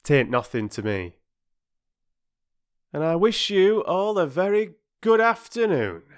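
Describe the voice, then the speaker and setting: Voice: mid-range voice, animated, a little slow, clear, resonant tone, pitched above the performer's own voice, natural voice. A narrator voicing an indignant working-class railway porter from a children's storybook, lofty and aggrieved, with ungrammatical speech. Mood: huffy, lofty. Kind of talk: children's storybook character reading.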